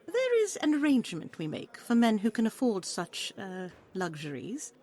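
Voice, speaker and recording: A woman's voice: on the high side, huge pitch swings, moderate pace, warm tone, full character voice. Bold, wily seductress played by a woman, coaxing. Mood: enticing. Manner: coaxing, sly, formal archaic speech. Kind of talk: audio play acting with archaic English.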